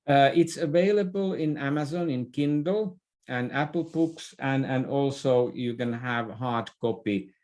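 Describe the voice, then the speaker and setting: A middle-aged man from Finland with a Finnish accent, speaking as an opinionated guest in a podcast interview, halting and repeating words as he makes his points. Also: mid-range voice, darkish tone. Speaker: interview guest, author and business thinker.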